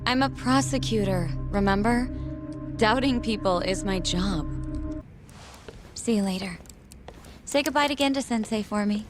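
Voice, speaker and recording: A woman voicing a cool, guarded prosecutor in a video game drama. Her voice is mid-pitched, with music behind it. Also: a little slow, long pauses, natural voice. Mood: guarded, subdued. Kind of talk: English dub cutscene acting.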